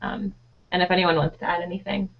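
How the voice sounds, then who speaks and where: A woman's voice: lowish voice, level tone, quick pace, husky, dull audio. A woman in her twenties from Delaware, a graduate student on a live Q&A panel, chatty and searching for words with "um" and "like".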